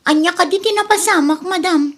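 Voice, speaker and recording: A woman's voice: very high voice, a little fast. A woman with an Ilocano Filipino accent playing a brazen, scheming, whining daughter and dismissed housemaid in a radio drama. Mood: pleading.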